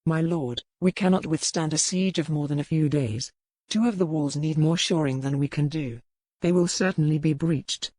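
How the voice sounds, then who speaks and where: A man playing a bitter, loyal medieval knight in an audiobook, speaking formally and gravely. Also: fairly high pitch, normal speed, a little mellow, dry recording, lightly acted. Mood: grave, urgent. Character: bitter, hostile, loyal medieval knight advising his lord. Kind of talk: dramatized audiobook dialogue.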